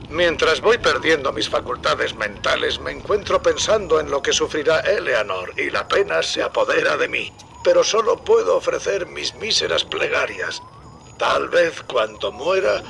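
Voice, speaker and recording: Very gravelly, doomed game scientist. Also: male voice, a little high, fairly bright tone, a little hiss or hum, full character voice. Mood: sorrowful, pleading. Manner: polite, resigned, formal. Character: doomed, remorseful male scientist pleading for his death. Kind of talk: video game dub acting, recorded message.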